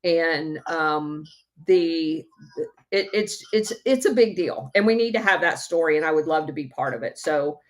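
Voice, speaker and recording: Woman host, slightly low pitch, eager and chatty, plenty of ums. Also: lively intonation, unhurried. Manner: eager, filler-heavy, many ums. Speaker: podcast host. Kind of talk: casual chat.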